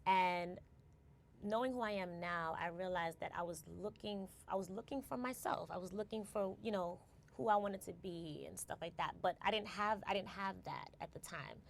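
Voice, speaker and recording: Interview guest: New woman in her forties, low gravelly voice, reflective and unhurried. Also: slight background noise. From United States. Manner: reflective, tags phrases with "right?" and restarts words. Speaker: guest, company founder. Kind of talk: casual interview conversation.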